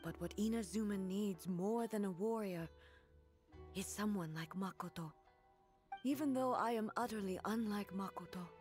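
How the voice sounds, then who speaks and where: An actress voicing a solemn, regal female god-ruler in a game, speaking in a measured, formal, grave way. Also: mid-range voice, lightly acted. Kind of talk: game voice acting.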